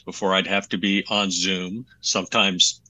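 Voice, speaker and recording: Bright, tense man on a dry line. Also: deep voice, little pitch movement, moderate pace, dry recording, low-quality recording. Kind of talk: casual panel chat.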